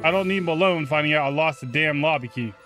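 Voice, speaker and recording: A man's voice: a little high, fast talker, over music, natural voice. A man voicing a chatty, uneasy mobster confiding in a pal in colloquial speech, for a video game note. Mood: suspicious, confiding.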